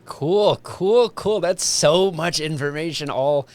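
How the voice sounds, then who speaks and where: A man's voice: on the high side, takes it slowly, slight background noise. A man hosting a casual interview podcast, chatting informally and explaining things as he goes. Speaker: podcast host.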